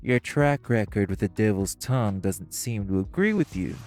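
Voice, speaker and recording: A man's voice: lowish voice, animated, normal speed, darkish tone, soft background music, lightly acted. An actor playing a smug, catty male crime businessman who needles the others with sarcasm. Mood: mocking. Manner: sarcastic, provoking, flirtatious jabs. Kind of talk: audio roleplay acting.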